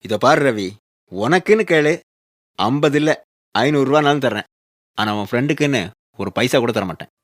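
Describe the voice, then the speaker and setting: A man's voice: medium pitch, animated, moderate pace, long pauses, no echo, lightly acted. An actor playing a frank, worldly-wise older male neighbour who scolds out of care, in a Tamil radio drama. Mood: firm refusal. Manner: admonishing, rhetorical questioning. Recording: music behind the voice.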